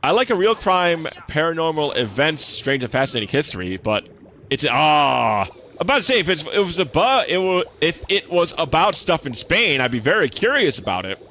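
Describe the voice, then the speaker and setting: A man's voice: sing-song, moderate pace, sharp tone, slight background noise. A young man streaming a game, chatting casually with his viewers; he has a slight lisp and talks in a laid-back, swear-sprinkled way. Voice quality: relatively deep voice. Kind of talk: casual live-stream chat while gaming.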